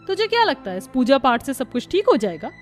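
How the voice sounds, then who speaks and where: A woman's voice: on the high side, big pitch swings, normal speed, soft background music. A woman voicing a scheming, mocking aunt in a cartoon, sneering and falsely sweet. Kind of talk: Hindi cartoon voice acting.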